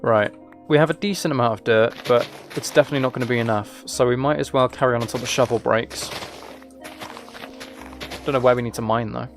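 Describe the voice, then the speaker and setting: A man with an average-pitched voice talks casually as he plays a game on stream. He rambles and thinks out loud, moving between chat and small observations. Music plays behind his voice. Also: brisk. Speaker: livestreamer.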